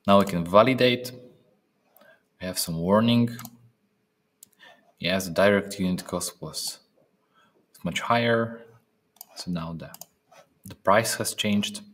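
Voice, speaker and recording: A man narrating a step-by-step software training demonstration, speaking haltingly with pauses in mid-phrase. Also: low-pitched. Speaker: software trainer presenting a tutorial.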